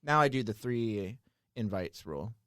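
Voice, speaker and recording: A man's voice: fairly low pitch, expressive, normal speed, fairly bright tone, clear, resonant tone, no echo, clean studio sound. A man chatting as a podcast guest, rambling with lots of "like" and laughing often. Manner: rambling, heavy use of "like", frequent laughter. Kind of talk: casual chat.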